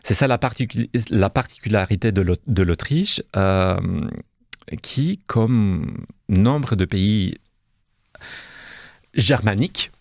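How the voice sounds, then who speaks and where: A man's voice: fairly low pitch, slow, no echo, clean studio sound. A man with a Romanian accent, a guest in a radio interview, speaking hesitantly with many fillers and restarts. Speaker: guest, cultural institute deputy director. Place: radio studio.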